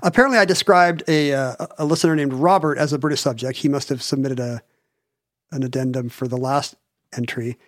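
A man's voice: medium pitch, fairly quick, dry recording, studio quality. A man co-hosting a podcast in casual chat, wry and joking, often stopping to restart his sentences. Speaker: podcast co-host.

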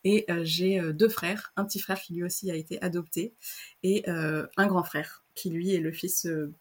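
A woman's voice: fairly low pitch, fairly even intonation, normal speed, husky. A young woman born in Madagascar, talking casually as a podcast guest, hesitant with many fillers and restarts. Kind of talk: casual interview chat.

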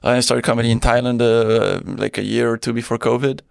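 A man's voice: mid-range voice, little pitch movement, brisk, creaky, no echo, studio quality. A young man from Spain and Belgium, a guest comedian chatting casually, laughing often and restarting his sentences. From born in Spain, grew up in Belgium. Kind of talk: casual chat.

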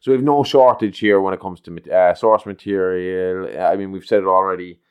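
A deep-voiced man hosts a casual podcast, joking around and swearing freely. His low voice comes across smooth and resonant. The sound is close and dry, with no room echo. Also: steady tone, moderate pace. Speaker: podcast host. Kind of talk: casual chat. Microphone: podcast mic.

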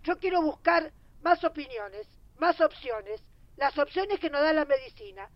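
An Argentine mother in an audio drama, pitched very high. She is insistent and anguished, pleading, in a dry recording. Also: lively intonation, measured pace, no room sound, dull audio.

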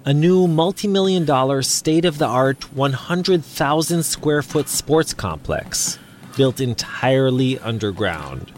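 A man narrating a news feature as the reporter, in a lively storytelling delivery. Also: mid-range voice, slow pace, slight background noise.

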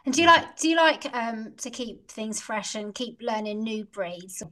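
A woman's voice: fairly high pitch, lively intonation, poor audio. Encouraging woman hosting a podcast. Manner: warm, encouraging, measured. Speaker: podcast host, dog groomer. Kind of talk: scripted intro and casual interviewing.

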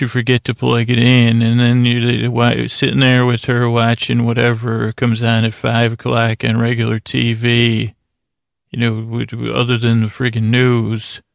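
A man hosting a bedtime podcast in a halting, meandering improvised monologue, whimsical and apologetic, with a gravelly creak in his voice. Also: medium pitch, no room sound, clean studio sound. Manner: meandering, halting, trails off into tangents and self-corrections. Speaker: podcast host.

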